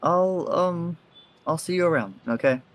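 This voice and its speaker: A man's voice: a little high, measured pace, noisy background, close to an everyday voice. A man playing an awkward, friendly ghost in an audio drama, speaking in a hesitant, casual way. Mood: gentle, awkward. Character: awkward, gentle, friendly male faceless ghost.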